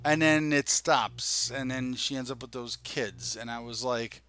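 A man's voice: medium pitch, a little slow, slight background noise. A man in his forties co-hosting a casual chat about screen adaptations, telling it colloquially with plenty of swearing. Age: 40s. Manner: animated storytelling, profane, colloquial. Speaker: podcast co-host.

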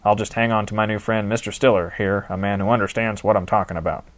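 A gruff, ornery old baseball man, as a narrator puts him on, talking loud and folksy with a turn to his words. The voice is deep and raised in volume, full of blustering banter in a storybook reading. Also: steady tone, fast talker, lightly acted. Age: older. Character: gruff older male baseball team owner, ornery and folksy.

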